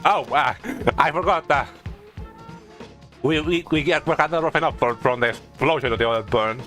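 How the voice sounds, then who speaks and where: A man giving casual video game commentary as a streamer, haltingly thinking aloud and often repeating himself. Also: a little high, a bit bright, faint music behind.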